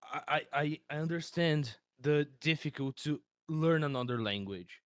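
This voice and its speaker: A man whose first language is not English, speaking haltingly in a casual voice chat, stammering and searching for words. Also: fairly high pitch, lively intonation, noisy background. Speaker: helper in a study chat.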